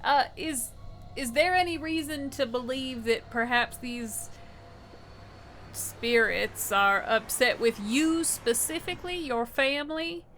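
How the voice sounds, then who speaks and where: Teasing female player, bright and a bit high, direct in character. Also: takes it slowly, slight background noise. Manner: blunt, teasing. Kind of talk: tabletop roleplay chat.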